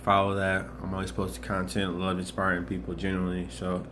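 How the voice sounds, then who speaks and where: Low flat-voiced young man vlogging. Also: brisk, noisy background. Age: 20s. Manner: earnest, rambling, many restarts and repetitions. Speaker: motivational vlogger. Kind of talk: casual motivational monologue.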